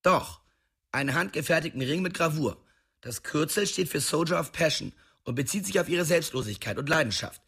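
An actor playing a cheeky, glib young prankster in a radio drama, with a slightly husky voice. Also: male voice, a little high, lively intonation, fairly quick, a bit bright, heavily acted. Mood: mock official. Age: young adult. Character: cheeky young prankster, narrator's small-town best buddy.

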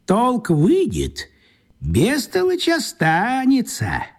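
Sly little old man for radio play, creaky husky voice, takes his time, swoops all over. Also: extremely high pitch, wildly swooping pitch, extremely slow, darkish tone, heavily acted. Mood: wry. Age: older. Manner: sly, drawling, plays with words. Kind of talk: radio drama acting.

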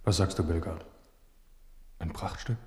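An aging French king in a radio drama, low in pitch and commanding. He speaks with regal, sardonic theatricality and a wary edge. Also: fairly even intonation, normal speed, slightly rough. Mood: sardonic, probing. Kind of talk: radio play acting.

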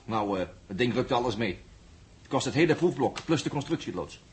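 A man's voice: mid-range voice, lively intonation, fairly quick, lightly acted. An actor playing a chatty, grumbling male crewman with a colloquial, sardonic manner in a sixties science fiction radio drama. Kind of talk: 1960s radio drama acting.

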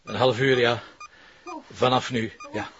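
An actor with a Flemish accent playing a police commissioner in a TV crime drama, plain-spoken and urgent. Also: male voice, mid-range voice, fairly even intonation, a little slow, natural voice. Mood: tense. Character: male police commissioner, desperate to save his wife. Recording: muffled, noisy TV drama rip.

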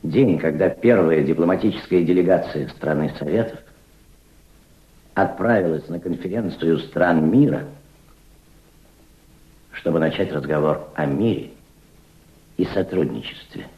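A man's voice: low voice, expressive, unhurried, pauses often. A male narrator delivering solemn, scripted radio drama narration. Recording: muffled, echoey old radio recording.

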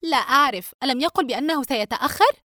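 A woman's voice: high-pitched, expressive, fast, sharp tone, no room sound, studio quality. A woman voicing an anxious, whining younger sister who grumbles and pleads in a dramatised audio story. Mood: grumbling.

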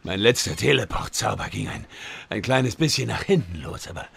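A man playing a bumbling, sheepish old wizard with a husky, gravelly voice in a comedy audio drama. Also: fairly low pitch, lively intonation, normal speed, a bit bright, a little hiss or hum, heavily acted. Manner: hesitant, sheepish. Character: bumbling, embarrassed old wizard reading a letter aloud.

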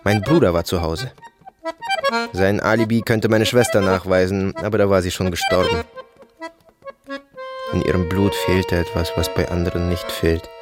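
A man's voice: low voice, steady tone, normal speed, long pauses, loud music behind the voice, lightly acted. An actor playing a brooding, detached man in an audio drama monologue, speaking in terse fragments with sudden emphatic bursts. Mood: detached, evasive. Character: brooding, detached male narrator recounting violence and family.

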